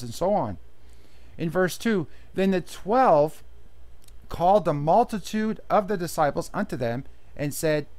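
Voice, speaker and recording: A man teaching a Bible study, reading verses aloud and then explaining them plainly in his own words. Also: a little high. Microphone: voice-over on slideshow.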